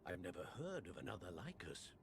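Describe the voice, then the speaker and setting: A man playing a dignified, measured older wizard professor in a video game. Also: sits low, very animated, creaky, background noise, heavily acted. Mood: grave, instructive. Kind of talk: scripted video game cutscene acting.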